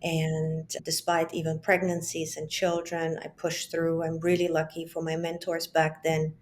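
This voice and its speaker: A woman's voice: low-pitched, completely flat delivery, measured pace, slight background noise. A woman in her forties, a psychologist who grew up in Germany, speaking reflectively as an interview guest over a remote call from home. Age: 40s. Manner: reflective, with frequent restarts and asides. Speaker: psychologist and business founder, guest. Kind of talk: casual interview conversation. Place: home, children in the background.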